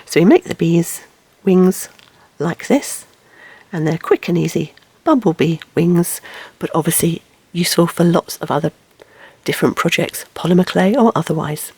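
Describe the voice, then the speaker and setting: A woman with a croaky, hoarse voice from a sore throat, chatting informally as she introduces a craft tutorial. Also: on the low side, moderate pace, darkish tone, no echo, low-quality recording.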